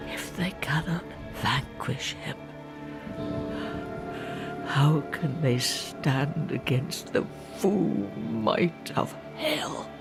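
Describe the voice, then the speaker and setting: An actor playing a solemn, ruthless druid fanatic in a video game, theatrical and often sinking into whispers. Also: male voice, sits high, gravelly, heavily acted. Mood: weakened, solemn. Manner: slow, theatrical, breaking into whispers. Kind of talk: dramatic video game acting. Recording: music behind the voice.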